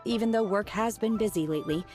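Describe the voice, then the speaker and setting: A woman voicing a calm, blunt young disciple in a video game, speaking in a deadpan, plain way. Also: medium pitch, fast, faint music behind, close to an everyday voice.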